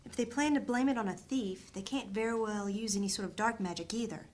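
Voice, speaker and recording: A woman's voice: medium pitch, a little fast, darkish tone, natural voice. A woman playing a practical, wary adventurer in a fantasy audio drama.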